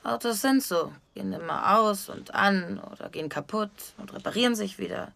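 A woman's voice: medium pitch, normal speed, gravelly, bright, forceful tone. An actress playing a fatalistic, menacing female killer who talks in a blunt, matter-of-fact way in a dubbed drama series. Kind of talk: German-dubbed TV series dialogue. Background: music.

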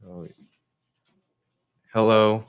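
Man from South Korea, low smooth voice, hesitant and brief, dull lo-fi recording. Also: steady tone, very slow. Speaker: interviewee. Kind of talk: casual interview.

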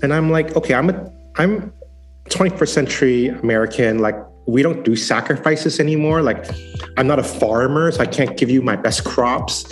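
A man's voice: medium pitch, moderate pace. Earnest man telling his story, music underneath. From born in San Francisco, raised partly in Hong Kong. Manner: earnest storytelling with acted-out inner quotes. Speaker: guest, former software engineer turned digital missionary. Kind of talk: casual personal testimony.

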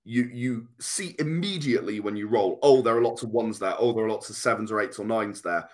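A man's voice: fairly low pitch, fairly quick. A British man explaining things conversationally as an interview guest, earnest, often restarting and interrupting himself mid-sentence. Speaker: guest, tabletop RPG and comic creator.

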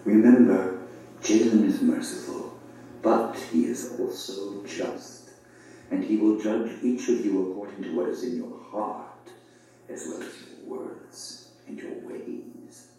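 A solemn, authoritative sage from an audio drama. His voice is low and very mellow, measured and wise. It plays back from a distance in a heavily echoing room. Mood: stern, measured. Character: grave, wise male sage and teacher of a school. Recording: roomy echoing playback, far from mic.

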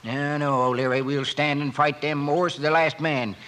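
An actor playing a stubborn, suspicious older rancher in a feud, with a rustic drawl, in a western radio drama. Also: male voice, on the high side, fairly even intonation, normal speed, fairly bright tone, lightly acted. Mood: defiant. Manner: folksy, rustic cowboy drawl. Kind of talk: 1950s western radio acting. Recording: faintly noisy old radio recording.

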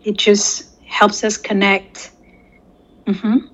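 A woman's voice: mid-range voice, monotone, very slow, fairly bright tone, muffled recording. A woman from Latin America, a native Spanish speaker, talking conversationally and telling anecdotes as an interview guest. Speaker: interview guest, corporate security professional.

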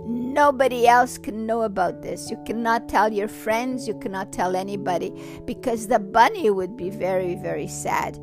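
A woman voicing a gentle, kindly man who speaks to a child in a hushed, patient way. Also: fairly high pitch, lively intonation, slow, creaky, music in the background, exaggerated voice. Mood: confiding.

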